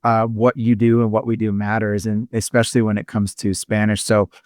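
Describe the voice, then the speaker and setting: A man with a fairly low voice hosts a casual podcast chat. He talks haltingly, with many fillers and false starts. The sound is dry and close. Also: flat delivery, darkish tone, no room sound. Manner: halting, full of fillers and restarts. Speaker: podcast host.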